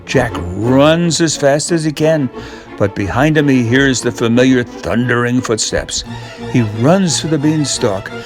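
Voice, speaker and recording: A man's voice: mid-range voice, lively intonation, measured pace, music in the background. An older man reading a children's story as a scripted narration.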